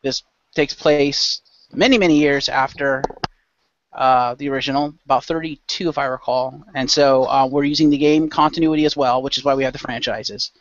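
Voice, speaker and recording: A man's voice: mid-range voice, steady tone, normal speed, fairly bright tone. A man hosting and narrating a role-play show as game master in a loose, informal way. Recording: dull lo-fi close microphone.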